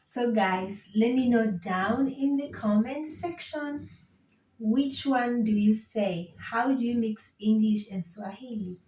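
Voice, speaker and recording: A woman's voice: on the high side, takes it slowly, warm tone, recorded from a distance, slight background noise. A woman from Kenya with a Kenyan accent teaching Swahili in an online lesson, patiently repeating each phrase. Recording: roomy echo, set back from the mic.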